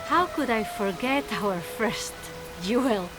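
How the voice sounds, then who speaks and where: A woman's voice: a little high, animated, slow. A woman voicing a bold, witty young swordswoman hero in a video game. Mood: playful challenge. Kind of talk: animated video game acting. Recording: music behind the voice.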